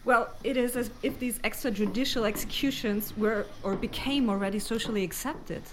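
A woman's voice: fairly high pitch, moderate pace, a little hiss or hum, rough recording. Articulate woman in prepared discussion.

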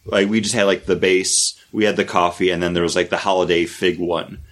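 Low-voiced man, rambling and jokey. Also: little pitch movement, fairly quick, a bit bright, a little hiss or hum. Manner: rambling, many fillers, jokey. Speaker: podcast co-host. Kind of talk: casual chat.

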